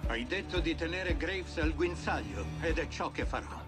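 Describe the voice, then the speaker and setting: An actor playing a hard, blunt, defiant middle-aged army general in a video game. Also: male voice, fairly high pitch, animated, moderate pace, music in the background, natural voice. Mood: grim. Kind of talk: cinematic video game acting. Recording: loud film score behind.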